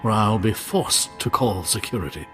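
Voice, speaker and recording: A man's voice: lowish voice, lively intonation, moderate pace, music in the background, natural voice. An actor playing a snooty, easily outraged male museum curator in a video game, with haughty, formal diction. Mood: haughty disdain.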